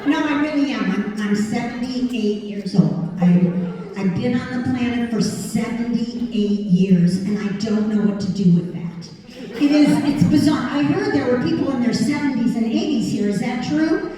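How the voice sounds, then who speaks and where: A woman's voice: a little high, normal speed. A woman in her seventies performing a stand-up comedy set, chatting with the crowd in a self-deprecating way. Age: 70s. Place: large theater stage. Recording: echoey theater recorded from far off. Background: audience laughter.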